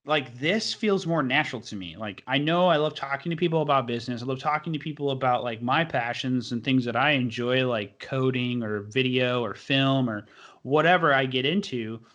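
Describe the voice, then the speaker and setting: Bright-voiced, rambling young podcast host. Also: male voice, medium pitch, normal speed. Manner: rambling and enthusiastic, heavy use of fillers. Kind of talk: casual podcast chat.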